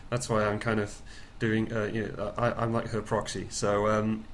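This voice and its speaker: A man taking part in a conference call, putting questions in a tentative, halting way with repeated words. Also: lowish voice, monotone. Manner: hesitant, repetitive, tentative. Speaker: programme participant relaying questions. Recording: conference call with faint noise.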